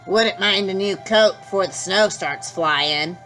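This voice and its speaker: A woman reading aloud and voicing a poor, kindly older man who collects cans, in a plain, slightly lowered storybook voice. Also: measured pace, sharp tone, soft background music. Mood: gruff kind.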